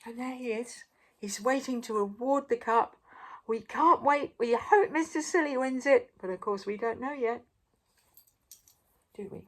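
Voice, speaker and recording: An older woman reading a children's story aloud expressively, pausing for drama and putting questions to the listener. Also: on the high side, fairly bright tone. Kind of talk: animated read-aloud to young children.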